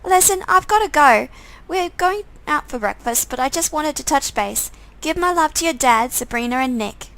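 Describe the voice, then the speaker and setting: A woman plays a doting mother from a visual novel game. She speaks in a high voice with some creak, affectionate and teasing toward her grown child. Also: animated, moderate pace, hardly any pauses, fairly bright tone. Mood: chatty, affectionate.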